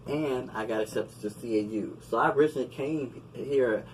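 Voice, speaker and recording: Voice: medium pitch, moderate pace, a little mellow. An interview host asking questions in a casual, playful way and affirming the guest often. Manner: friendly, playful, lots of affirming mm-hmms. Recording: distant mic with light background noise.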